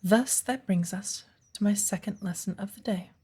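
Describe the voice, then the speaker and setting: A woman playing a patient, playful witch of the woods who gently teaches an apprentice in a soft ASMR roleplay, with a breathy voice. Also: mid-range voice. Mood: earnest, instructive. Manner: slow, measured, gently instructive.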